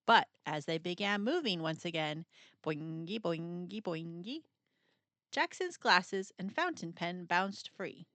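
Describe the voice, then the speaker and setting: Female storybook narrator: lively, swooping intonation, dramatic pauses, playful emphasis, very dry close sound. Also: lowish voice, no echo. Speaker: storyteller reading a children's book aloud. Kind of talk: expressive scripted picture-book narration.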